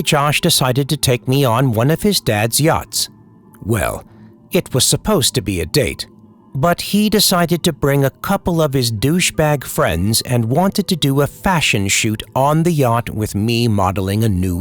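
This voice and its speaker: Male horror narrator, old-time radio storytelling, lively pitch, unhurried pace, music underneath.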